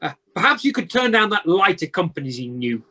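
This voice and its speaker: Old male miser, acted out, radio drama: raspy, animated, blustering and stammering, easily flustered. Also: medium pitch, a little fast. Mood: nervous bluster. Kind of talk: radio play acting.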